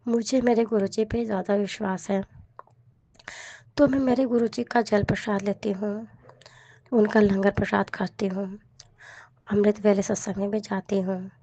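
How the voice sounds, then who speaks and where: A woman with a hoarse, cold-roughened voice, earnestly sharing a devotional testimony and repeating her thanks. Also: mid-range voice, little pitch movement, a little slow, long pauses, warm tone, no room sound.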